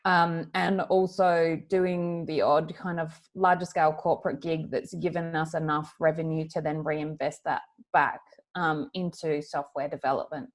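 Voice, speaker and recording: Female founder on an online panel: somewhat low pitch, measured, plain explanatory delivery. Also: level tone. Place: online video call.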